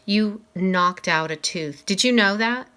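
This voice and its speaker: Woman reading as a grave, measured male school principal. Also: medium pitch, bright, forceful tone, clear, resonant tone. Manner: serious, measured, questioning.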